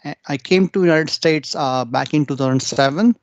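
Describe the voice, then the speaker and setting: A man's voice: a little high, moderate pace, dry recording. A man from India introducing himself as a conference presenter on a video call, with many "uh" fillers. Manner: many "uh" fillers between phrases. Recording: dry, dull video call audio.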